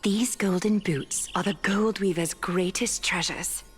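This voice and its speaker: An elegant, wealthy woman from a video game voiced by an actress, composed and knowing. She talks slowly at a middle pitch, with soft music behind her. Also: natural voice. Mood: gracious, knowing. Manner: poised, measured, gently knowing.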